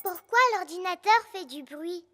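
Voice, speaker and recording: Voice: very high voice, moderate pace, very noisy. A performer voicing a cheerful, bossy little girl piglet in a French children's cartoon, with music behind the voice. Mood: curious.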